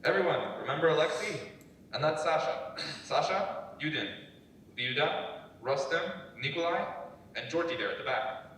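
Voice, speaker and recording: A man's voice: a little high, expressive, moderate pace, fairly bright tone. Young man acting a stubborn young hiker, echoey hall. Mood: friendly, upbeat. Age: young adult. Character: stubborn, reassuring young hiker, son and brother. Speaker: student actor. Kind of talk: dramatic script reading. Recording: very echoey hall, distant mic, faint noise.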